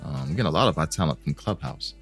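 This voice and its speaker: Male co-host, deep relaxed voice, teasing slangy banter. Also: quick pace, husky, soft background music. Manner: laid-back, joking, slangy. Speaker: podcast co-host. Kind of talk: casual chat.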